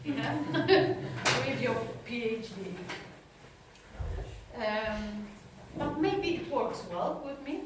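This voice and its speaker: European woman therapist telling her story, slow, searching for words, lots of room echo, faint hiss behind. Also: a little high, expressive. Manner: halting, searching for words in a second language. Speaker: psychotherapist giving a talk. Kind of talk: personal talk to an audience. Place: talk room at an institute. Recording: echoey room from a distant mic.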